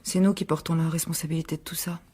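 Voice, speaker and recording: An actress playing a defensive, exasperated middle-aged divorced mother in a French radio drama, argumentative, with a husky voice. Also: deep voice, level tone, quick pace, dark tone. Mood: weary, subdued.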